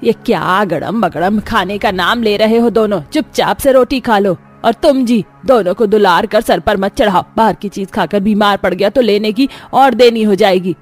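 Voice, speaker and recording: Cartoon grandma voiced by a woman: bossy, nagging, practical, medium pitch, light music bed. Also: moderate pace, hardly any pauses, lightly acted. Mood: scolding. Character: bossy, scolding grandmother of a poor family. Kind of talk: Hindi cartoon story acting.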